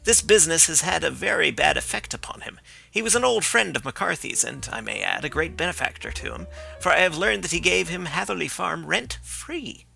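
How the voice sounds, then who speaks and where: A man's voice: on the high side, big pitch swings, a little fast, gravelly, pushed and bright, husky, soft background music, pitched above the performer's own voice, full character voice, poor audio. A man reading the part of a blunt, stubborn male police inspector who talks in a dismissive way. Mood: insistent.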